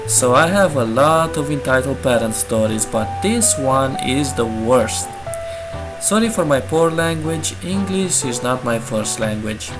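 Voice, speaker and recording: A man's voice: fairly high pitch, rough recording. A man hosting a channel and reading entitled-parent stories aloud in a casual, jokey way. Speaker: host. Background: guitar music.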